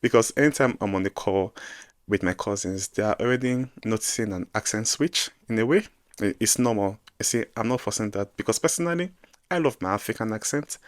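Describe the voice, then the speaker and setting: A man in his twenties with a Nigerian accent, giving emphatic, animated advice in a casual vlog. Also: on the low side, brisk, no echo, studio quality. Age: 20s. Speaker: vlogger giving advice to newcomers. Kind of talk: casual direct-to-camera vlog.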